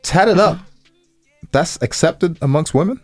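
A man's voice: on the high side, unhurried, dry recording, faint music behind. A man co-hosting a podcast with playful, slangy, joking banter, swearing freely and repeating his phrases. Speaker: podcast co-host.

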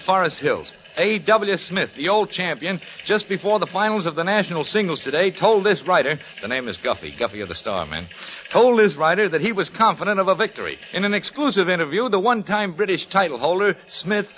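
A brash, wisecracking ghost sportswriter in a vintage radio play, his voice pitched a little high and bouncing all over the place. He rattles off quick newsman patter. The sound is muffled and lo-fi, like an old broadcast recording. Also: very animated, slight background noise. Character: brash fast-talking male ghost sportswriter. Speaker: ghost newspaper reporter. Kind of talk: 1940s radio acting.

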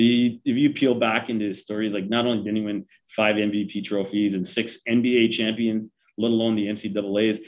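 A man's voice: on the low side, highs cut off. A man in his forties, from Sri Lanka, giving advice in a casual interview, talking with many fillers and restarts. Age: 40s. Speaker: guest.

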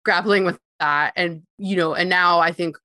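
A woman's voice: lowish voice, fairly even intonation, moderate pace, sharp tone, no room sound. A woman guest giving long explanatory interview answers about technology, often with a light laugh.

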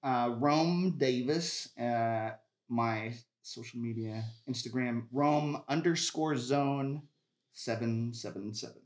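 A man talks casually as a guest in an echoey room. His delivery is halting and joking, with a mid-range voice. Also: mid-range voice, slight background noise. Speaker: podcast guest, comedian. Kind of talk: casual chat.

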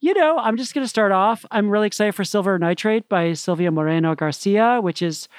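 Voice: medium pitch, fairly quick, clear, resonant tone, no room sound, studio quality. A podcast co-host chatting casually and enthusiastically about books in loose, conversational phrasing. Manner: enthusiastic, gushing; conversational, peppered with "like". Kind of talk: casual book recommendation chat.

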